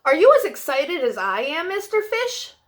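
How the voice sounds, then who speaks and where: Woman putting on an eager high-voiced little fish. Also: big pitch swings, moderate pace. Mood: excited. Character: little girl fish, friendly, excited, childlike storybook character.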